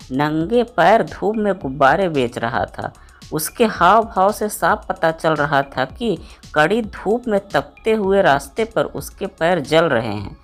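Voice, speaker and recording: A woman storyteller reading a scripted moral story as narration. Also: fairly low pitch.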